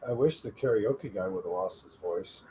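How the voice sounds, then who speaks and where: A man joining a casual podcast chat, adding quick, wry remarks. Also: lowish voice, warm tone, slightly rough, poor audio. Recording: busy background chatter behind the voice.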